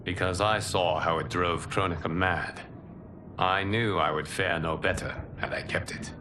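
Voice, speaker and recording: An actor playing a calm, wise former god turned monk-champion in a video game cutscene, speaking in a measured, solemn and respectful way. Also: male voice, low voice, background noise. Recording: loud orchestral score underneath.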